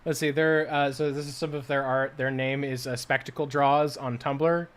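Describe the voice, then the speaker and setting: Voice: mid-range voice, fairly even intonation, normal speed. A co-host chatting casually on a livestream in an informal, playful way.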